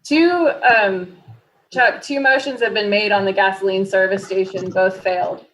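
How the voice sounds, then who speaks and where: A woman's voice: medium pitch, normal speed, fairly bright tone, poor audio. A woman working as a board secretary, calling roll and explaining procedure in a matter-of-fact way at a public meeting.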